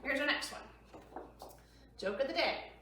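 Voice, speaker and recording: High-voiced woman hosting an echoey storytime. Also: animated, slow pace, pushed and bright. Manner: explains simply to young children. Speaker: library storytime host. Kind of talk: children's read-aloud and storytime presenting. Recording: very echoey room, distant mic, faint noise.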